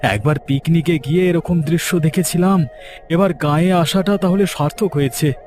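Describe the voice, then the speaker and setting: A man voicing an easily impressed city gentleman visiting a village in a cartoon. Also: fairly high pitch, moderate pace, soft background music, lightly acted. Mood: pleased, marvelling. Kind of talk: cartoon character dialogue.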